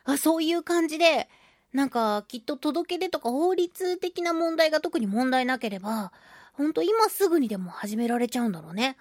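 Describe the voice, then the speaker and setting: A woman hosting a solo radio talk show, chatting and reading out listener mail with animated, playful reactions. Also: sits high, brisk, dry recording, clean studio sound. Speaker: radio show host, voice actress.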